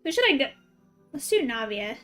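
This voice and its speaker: Female game streamer, high voice, informal and chatty, thinks aloud to viewers, faint music underneath. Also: big pitch swings, a little fast, a bit bright. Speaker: game livestreamer. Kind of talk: casual livestream chat with viewers while playing.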